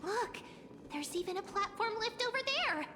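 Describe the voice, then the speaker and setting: A woman's voice: very high voice, animated, moderate pace, background noise. A woman voicing a small, childlike fairy companion creature, curious and chirpy, in a video game. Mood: curious, cheerful. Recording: long hall reverb, game music.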